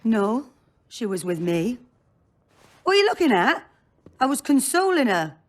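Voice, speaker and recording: An actress playing a blunt, earthy, world-weary brothel madam in a fantasy video game, speaking in short bursts. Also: female voice, a little high, sing-song, unhurried. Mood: blunt, defensive.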